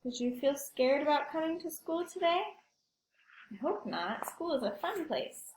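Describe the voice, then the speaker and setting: A woman's voice: high-pitched, very animated, moderate pace, a little mellow, reverberant, low-quality recording. A woman teacher reading aloud to young children, explaining simply and expressively and asking the listeners questions.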